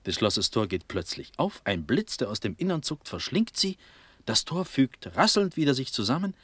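Dreamy, breathy young prince. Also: male voice, mid-range voice, very animated, a bit bright, no echo. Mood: rapturous. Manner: fervent, halting, breathy declamation drifting into whispers. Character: dreamy, dazed young Prussian prince, rapturous and absent-minded. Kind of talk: classical German stage verse radio drama.

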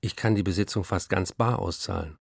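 A hard-drinking, excitable writer in a literary radio play. His voice is low and gravelly, with a husky grain. He recounts his life and reads out his letters in a dry, wry way. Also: fairly quick, no room sound, heavily acted. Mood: proud, contented. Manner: dry, wry first-person storytelling and letter reading. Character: Hans Fallada, hard-drinking, excitable German novelist.

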